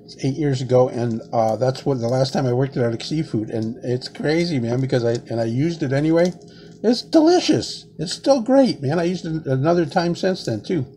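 A man's voice: medium pitch, expressive, normal speed, warm tone, slight background noise. A man in his sixties chatting casually in his home kitchen as he peels shrimp, rambling and saying "man" a lot. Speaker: home cooking vlogger. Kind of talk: casual cooking demonstration. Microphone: camera close on a table.

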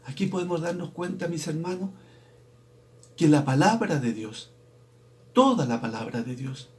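Slow-preaching man clearing his throat. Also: on the high side, animated, darkish tone. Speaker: preacher's assistant. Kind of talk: slow sermon with scripture reading. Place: small indoor room at home. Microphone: webcam at desk, video call framing.

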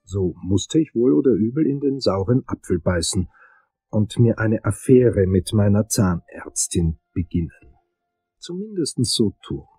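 Casting: wry, reluctant investigator, lowish husky voice, radio crime drama. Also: male voice, very animated, a little slow, dark tone, dry recording, faint music behind, heavily acted, rough recording. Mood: wry, brooding.

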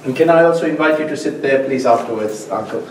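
A man hosting an event, giving a polite, halting introduction in a mosque meeting room. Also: mid-range voice, slight background noise. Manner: polite, halting, many fillers. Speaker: host introducing the speaker. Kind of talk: formal introduction. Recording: echoey room from far off.

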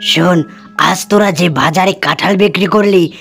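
A woman's voice: lowish voice, normal speed, creaky, fairly bright tone, faint music behind. A woman voicing a greedy, stingy tigress who scolds and bosses others around. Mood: scornful. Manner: scolding, coarse and bossy.

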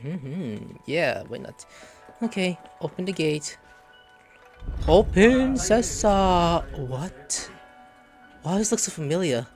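Male streamer, playful and very animated in pitch, casual game commentary, faint music behind. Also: slow, long pauses. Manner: playful, reacts aloud, reads dialogue in character. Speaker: gaming streamer. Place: indoor room, game audio behind.

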